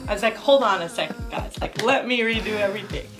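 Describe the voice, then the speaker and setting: A woman's voice: medium pitch, fast talker, music in the background. A young woman from Japan, speaking English with a Japanese accent, chatting in a studio with frequent laughs. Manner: chatty, laughs often, agreeable. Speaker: recording engineer, guest. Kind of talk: casual conversation. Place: recording studio control room.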